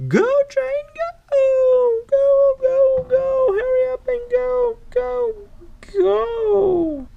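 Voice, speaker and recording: A woman voicing an impatient, hurried lady judge from a children's storybook in an urgent, exclamatory way. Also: very high voice, animated, a little mellow, muffled recording, voice pushed up, heavily acted.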